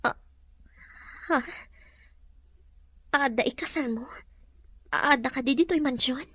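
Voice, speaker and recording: Bright, high-voiced, hesitant young mother played by a woman. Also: slow, slightly rough, dry recording, heavily acted. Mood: concerned. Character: hesitant, modest young mother, wary but devoted. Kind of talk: Ilocano radio-style drama acting.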